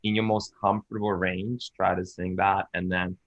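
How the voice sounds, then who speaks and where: A man's voice: low voice, flat delivery, normal speed, no echo, highs cut off. A man who sings as a hobby, chatting in a laid-back, joking way in a group conversation. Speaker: amateur singer. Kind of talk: casual group chat.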